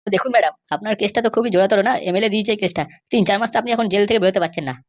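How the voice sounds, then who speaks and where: A woman's voice: mid-range voice, rapid-fire, close to an everyday voice. A woman voicing a sly, insistent male schemer and constable in an amateur comedy cartoon. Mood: dismissive, insistent.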